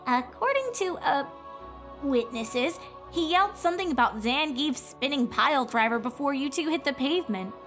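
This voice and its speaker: A woman's voice: high voice, animated, normal speed, a bit bright, faint music behind, pitched above the performer's own voice. A woman voicing a young bookish pony princess in a cartoon fan story, earnest, awkward and tactfully explanatory. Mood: explaining. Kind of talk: cartoon fan fiction character reading.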